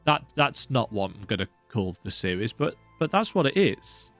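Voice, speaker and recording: Lively, animated man commentating on a game. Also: mid-range voice, moderate pace. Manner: chatty, self-deprecating. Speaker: let's play host. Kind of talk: casual gameplay commentary. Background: video game music.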